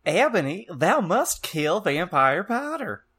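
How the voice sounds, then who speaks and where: A man voicing a cruel, menacing dark wizard villain in a comic fan fiction reading, with commanding mock-archaic delivery. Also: high voice, very animated, takes it slowly, breathy edge, pitched above the performer's own voice, full character voice.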